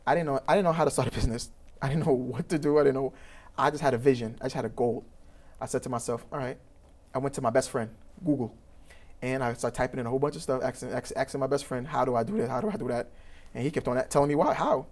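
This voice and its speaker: Young Trinidadian man, program founder, fast and earnest with frequent restarts. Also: mid-range voice, a little mellow. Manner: earnest, rambling, many fillers and restarts. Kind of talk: interview answers.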